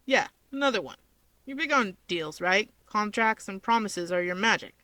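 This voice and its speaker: Storybook male wizard, played by a woman: gruff, self-assured tease, sharp forceful tone, blunt sardonic delivery. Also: medium pitch, expressive, moderate pace, dry recording. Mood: bargaining. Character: gruff, cocky, teasing young male wizard. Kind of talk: fan fiction character reading.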